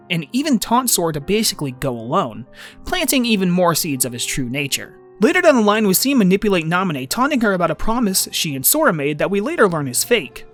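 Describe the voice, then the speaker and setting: A man's voice: high-pitched, fairly bright tone. A man narrating an informal scripted fan video essay about a game, with emphatic, swinging phrasing.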